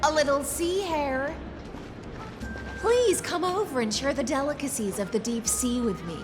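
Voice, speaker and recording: A woman's voice: on the high side, close to an everyday voice. Woman as an elegant, coaxing noblewoman in reverb. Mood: gracious. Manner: poised, coaxing. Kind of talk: anime-style video game voice acting. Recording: long reverb, music behind.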